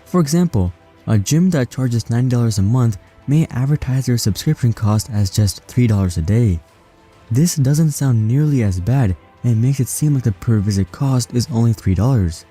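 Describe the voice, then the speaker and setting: A man narrating a scripted explainer in an even, read-out way. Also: mid-range voice, normal speed, faint music behind.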